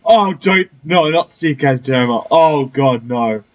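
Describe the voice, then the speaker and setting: A man's voice: mid-range voice, expressive, measured pace. A man giving casual playthrough commentary as a gaming streamer. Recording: game music behind the voice.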